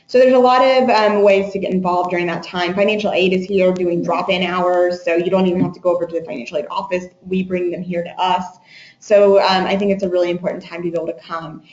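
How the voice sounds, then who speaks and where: A woman's voice: fairly low pitch, steady tone, fast talker, darkish tone. A woman giving an informational webinar presentation as an admissions presenter, explaining with frequent hesitations. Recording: echoey room, muffled webinar audio.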